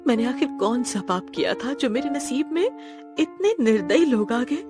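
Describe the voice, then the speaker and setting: A woman voicing a frightened, mistreated young wife in a horror story, pleading and stammering haltingly. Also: fairly high pitch, expressive, pitched above the performer's own voice, lightly acted. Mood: despairing. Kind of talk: Hindi horror story character acting. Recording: loud music bed behind the voice.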